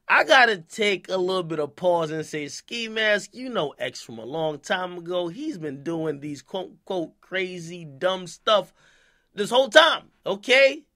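A man's voice: high-pitched, sharp tone. A man hosting an opinionated, slangy hip-hop commentary, speaking emphatically and punctuating his points with checking questions. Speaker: commentary show host.

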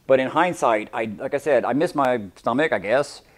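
A man's voice: medium pitch, moderate pace. A man in his forties, a chef talking casually and ramblingly to a live audience while cooking in a large demo kitchen, picked up from across the room. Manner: chatty, rambling, self-deprecating.

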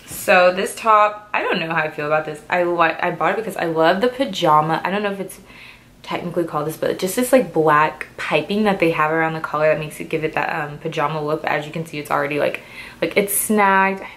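A woman's voice: low-pitched, brisk, a bit bright. A woman in her twenties chatting casually through a clothing try-on haul, a little stuffy from a cold and full of "like" and "um" fillers. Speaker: fashion vlogger.

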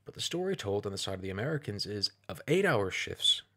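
A man giving an opinionated video commentary, speaking in a measured, deliberate and persuasive way. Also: lowish voice, sing-song, bright, forceful tone.

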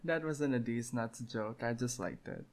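A man's voice: mid-range voice, lively intonation, moderate pace. A young man with a lisp doing intimate boyfriend ASMR roleplay in a playful, teasing manner.

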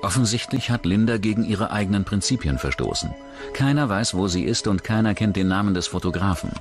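True-crime narrator: man with a low voice, scripted delivery over clear background music. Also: expressive, a little fast. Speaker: documentary narrator.

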